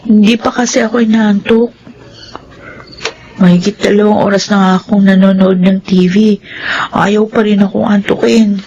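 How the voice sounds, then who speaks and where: An actress in a Filipino radio drama playing a worried, lovestruck younger sister, speaking hesitantly and pensively. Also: female voice, mid-range voice, fairly even intonation, moderate pace, long pauses, warm tone, lightly acted. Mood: subdued. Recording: dull radio sound, faint noise.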